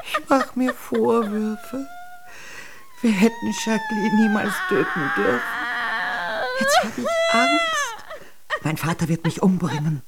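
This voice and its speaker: A woman's voice: on the high side, huge pitch swings, slow pace, pauses often, heavy background noise, exaggerated voice. An actress playing a limp, melancholy, scheming queen in an absurdist radio drama, imperious yet needy. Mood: tearful.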